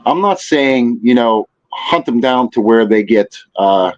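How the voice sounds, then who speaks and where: A man's voice: medium pitch, expressive, a little slow. Male podcast guest, mid-range voice, slightly muffled recording, conversational and instructive, circles back over his phrases. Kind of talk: casual chat giving practical advice.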